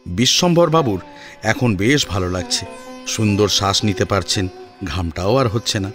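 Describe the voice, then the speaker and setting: A man's voice: fairly low pitch, moderate pace, fairly bright tone. A man narrating a scripted audio drama in a dramatic storytelling style. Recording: music under the voice.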